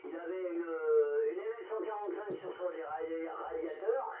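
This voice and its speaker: A man's voice: a little high, normal speed. An older man chatting casually as a radio operator over a two-way radio transmission. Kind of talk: casual radio contact chat. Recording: noisy muffled radio transmission, roomy and distant.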